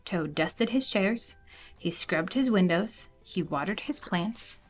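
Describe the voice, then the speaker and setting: A woman reading a children's storybook aloud in an animated narrating style. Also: mid-range voice, slow pace, faint music behind. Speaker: storybook reader.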